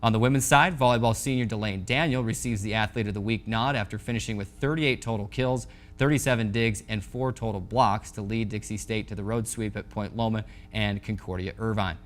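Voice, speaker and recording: A man's voice: fairly low pitch, steady tone, a little fast. A man in his twenties hosting a sports news show, reading scores in a scripted presenting style from a TV studio on a lapel mic. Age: 20s.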